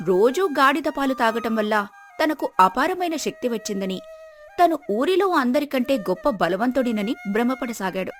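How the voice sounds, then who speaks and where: A woman narrates a scripted moral tale. Her voice is on the high side, and soft music plays beneath the storytelling. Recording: music behind the voice.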